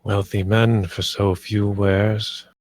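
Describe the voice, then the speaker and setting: A man's voice: fairly low pitch, level tone, slow pace, darkish tone, dry recording. A man playing a composed, protective lord of the house in a dramatic script read, with understated authority.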